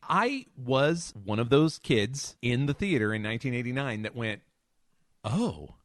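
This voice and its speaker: Man with lively, swinging intonation, dry recording. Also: medium pitch, unhurried, dry recording. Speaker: podcast co-host and superhero scholar. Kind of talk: casual analytical podcast discussion.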